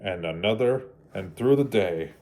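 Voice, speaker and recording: A man narrating a storybook for children in a deliberate, halting way with dramatic pauses. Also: fairly low pitch, animated, dark tone. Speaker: read-aloud storyteller for children.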